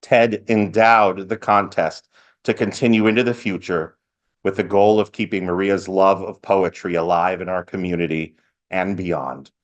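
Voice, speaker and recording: A man's voice: sits low, fairly even intonation, moderate pace, lo-fi sound. A man speaking as host of a live event, giving a part-scripted welcome speech with lightly joking asides. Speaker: event host, master of ceremonies.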